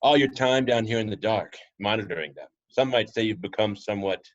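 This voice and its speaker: A man playing a loyal, courteous butler with a polite, wry, measured manner in a superhero script read. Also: lowish voice, close to an everyday voice. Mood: reassuring, concerned. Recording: dull lo-fi home recording.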